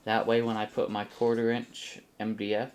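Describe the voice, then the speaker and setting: A middle-aged man casually explaining a hands-on build, halting as he thinks aloud, in a small home room. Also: on the low side, completely flat delivery. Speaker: host of a DIY flight simulator build channel. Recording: faint background room noise.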